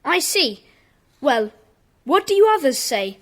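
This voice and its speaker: High-voiced, bossy young schoolboy leader. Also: female voice, sing-song, takes it slowly, fairly bright tone, exaggerated voice. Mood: decisive. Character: brisk schoolboy leader of a child detective club. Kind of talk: children's audio drama acting.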